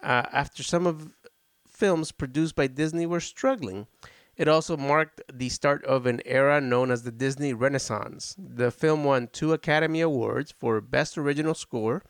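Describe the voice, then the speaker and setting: A man's voice: medium pitch, moderate pace, no echo, clean studio sound. A man hosting a casual film podcast, talking enthusiastically and rambling with frequent restarts. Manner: enthusiastic, rambling, self-interrupting. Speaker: podcast host. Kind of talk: casual chat about old films.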